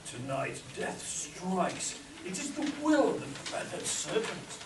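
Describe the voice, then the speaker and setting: A man's voice: sits high, sing-song, slow pace, heavily acted. A man hamming up melodramatic adventure and villain lines in a spoof radio play performed live. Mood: sinister. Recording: echoey room, distant mic, audience noise.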